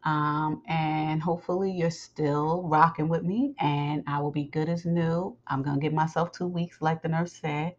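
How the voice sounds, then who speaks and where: A woman podcast host with a scratchy voice recovering from a sore throat, speaking in a halting, deliberate, instructive way. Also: low-pitched, steady tone, lo-fi sound. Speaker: podcast host and religious teacher. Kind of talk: informal spoken podcast announcements.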